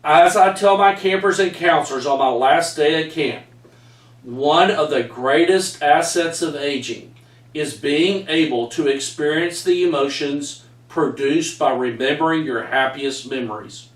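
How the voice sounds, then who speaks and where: A man's voice: on the high side, far from the mic. Folksy Texan man reading in an echoey room. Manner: folksy storyteller, says y'all. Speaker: author reading his book aloud. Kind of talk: reading a personal story aloud. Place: home room with framed pictures, indoors. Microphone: far camera.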